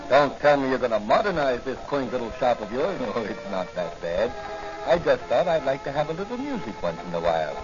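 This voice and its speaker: An actor playing a kindly older apothecary doctor and mentor in a 1940s radio drama. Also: male voice, medium pitch, very animated, a little fast, close to an everyday voice. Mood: genial. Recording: muffled old 1940 radio recording with music.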